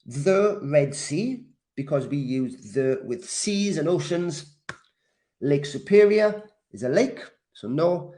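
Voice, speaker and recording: A man from Wales teaching a live English class, deliberate and encouraging, repeating key words for learners as he responds to comments. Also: a little high, expressive, warm tone. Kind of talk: live grammar lesson, reacting to comments.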